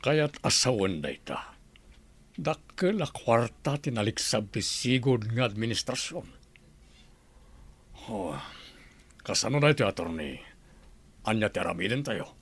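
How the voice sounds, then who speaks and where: A man's voice: fairly low pitch, sing-song, measured pace, long pauses, breathy edge, full character voice. An actor playing a composed, authoritative governor and father in an Ilocano-accented radio drama.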